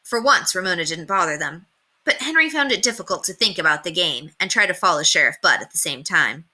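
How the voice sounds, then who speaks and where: A woman's voice: fairly low pitch, animated, fairly quick, sharp tone. A woman narrating a children's story aloud from a book.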